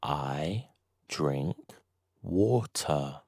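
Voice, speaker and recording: A man reading a slow, scripted beginner English lesson, saying each short sentence twice with deliberate pauses. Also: rumbling low, lively intonation, gravelly, darkish tone, husky, no echo, clean studio sound. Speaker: English teacher.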